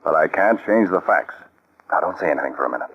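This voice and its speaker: A commanding counterspy chief. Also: male voice, extremely low pitch, lively intonation, quick pace, breathy edge, heavily acted. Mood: stern. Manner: firm, clipped and controlled. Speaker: lead agent. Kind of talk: 1940s radio acting. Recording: dull old radio broadcast.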